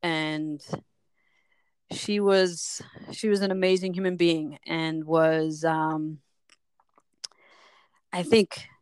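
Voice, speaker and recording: A middle-aged woman from Liberia, a physician telling her life story in an interview, thoughtful, often pausing and restarting her phrases. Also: low-pitched, fairly even intonation, darkish tone, smooth, no room sound. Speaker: sports medicine physician, guest. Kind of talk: reflective personal story in an interview.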